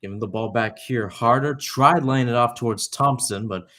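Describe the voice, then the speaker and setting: A man's voice: medium pitch, moderate pace, a bit bright. A young man giving live radio-style soccer play-by-play, talking conversationally and filling in background detail between calls. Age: young adult.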